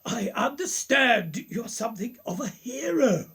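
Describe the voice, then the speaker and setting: An actor playing an older male professor in a radio play, genial and probing, with formal, measured old-world courtesy. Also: very high voice, sing-song, a bit bright, breathy edge, exaggerated voice.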